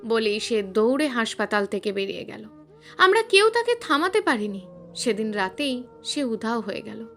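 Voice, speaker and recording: A woman's voice: fairly high pitch, big pitch swings, normal speed, fairly bright tone, faint music behind. A woman narrating a scripted suspense story in a dramatic, emphatic storytelling manner.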